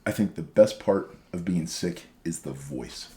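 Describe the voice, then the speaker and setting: A middle-aged man co-hosting a casual chat, talking in an animated, chatty way. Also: deep voice, a little slow, a little hiss or hum. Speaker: podcast co-host.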